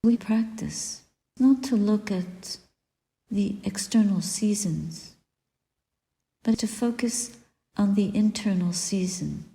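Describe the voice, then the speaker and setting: A woman guiding a meditation as a Buddhist teacher, speaking softly and deliberately in short phrases with long pauses and breathy endings. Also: medium pitch. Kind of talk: guided meditation, spoken dharma reflection. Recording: echoey room with long reverb.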